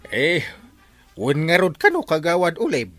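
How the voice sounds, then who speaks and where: Excitable, stammering village captain, wildly swooping voice. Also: male voice, on the high side, a little slow, soft background music, full character voice. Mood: nervous. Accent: Ilocano. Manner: stammering, blustering, full of interjections. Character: excitable, easily rattled, cowardly village captain. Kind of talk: radio comedy drama acting.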